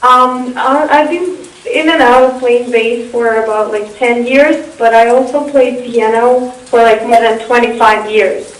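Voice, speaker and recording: A woman from Russia, a musician answering interview questions in a relaxed, chatty way. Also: fairly high pitch, measured pace, hardly any pauses. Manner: relaxed and chatty, with frequent ums. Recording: echoey room, far from the mic, faint noise.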